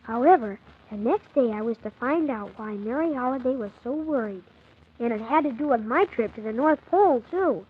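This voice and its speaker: A woman's voice: a little high, lively intonation, moderate pace, husky. A woman playing an earnest, polite young orphan boy who tells his story wide-eyed in a 1940s children's radio drama. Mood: wide-eyed narrating. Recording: thin, phone-like 1940s radio recording.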